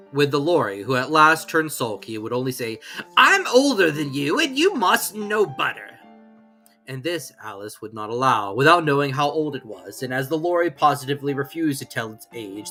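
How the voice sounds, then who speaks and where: A woman's voice: very deep voice, lively intonation, fairly quick, a bit bright, faint music behind. A woman narrating a children's classic as scripted storytelling, with theatrical, emphatic phrasing.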